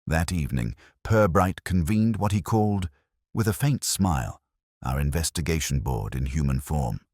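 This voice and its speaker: Very deep-voiced male mystery narrator. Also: expressive, moderate pace, a little mellow, dry recording, studio quality. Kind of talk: scripted mystery story narration.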